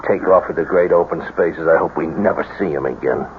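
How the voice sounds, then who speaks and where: An actor playing a nervous con man's sidekick in a radio drama, slangy, excitable and uneasy. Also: low voice, brisk, natural voice. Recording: muffled, noisy old radio broadcast.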